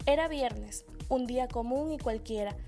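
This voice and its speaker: The female voice-over opening a student documentary, fairly high, with music playing softly underneath. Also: moderate pace, gravelly. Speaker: documentary narrator. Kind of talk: scripted narration.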